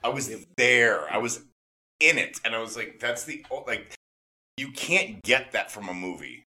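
Man, mid-pitched, bright forceful tone, excited casual chat, emphatic, repetitive, gushing interviewer. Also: moderate pace. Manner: gushing, emphatic, repeats himself. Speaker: podcast interviewer and excited fan.

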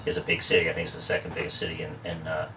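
A deep-voiced Irish man from Dublin, in his forties, chatting as a guest with dry jokes and restarts. He sits a little back from the mic, and a faint hiss lies under the speech. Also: monotone, racing, sharp tone, distant mic. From Ireland. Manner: chatty storyteller, wry jokes, frequent restarts. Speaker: guest, real estate expert. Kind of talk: casual interview chat.

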